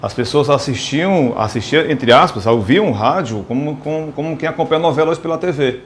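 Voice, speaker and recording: A man with a Northeastern Brazilian accent reminiscing in an interview, haltingly, often repeating words as he searches for them. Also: on the high side. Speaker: radio presenter, interviewee. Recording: noticeable background noise, ambient sound.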